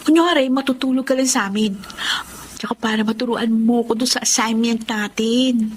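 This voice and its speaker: A woman acting a pushy, scheming schoolgirl in a Filipino radio drama, coaxing and animated, with a Filipino accent. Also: on the high side, moderate pace, slight background noise, close to an everyday voice. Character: scheming, pushy teenage schoolgirl who uses her friend. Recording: background music under the dialogue.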